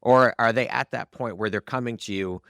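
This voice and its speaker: A man's voice: mid-range voice, fairly quick, vocal fry, a bit bright, no room sound, studio quality. A man hosting a conversational interview podcast, talking in a candid, informal way. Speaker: podcast host.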